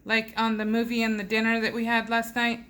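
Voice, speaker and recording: Bright-voiced mother coaxing her child. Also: on the high side, completely flat delivery, a little fast. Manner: gentle questioning, coaxing a child. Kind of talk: chatting with her children before a family reading.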